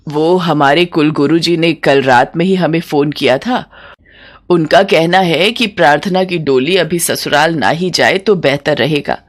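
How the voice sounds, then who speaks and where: A woman voicing a scheming middle-aged mother-in-law in a story, smooth and falsely polite. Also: low voice, a little slow. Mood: placating.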